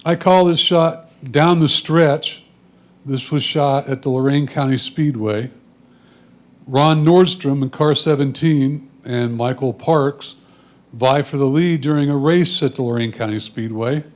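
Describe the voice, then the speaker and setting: A man's voice: medium pitch, a little slow. An American man gives matter-of-fact, semi-scripted commentary on his photographs, explaining them as he goes. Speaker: club photographer presenting own photos.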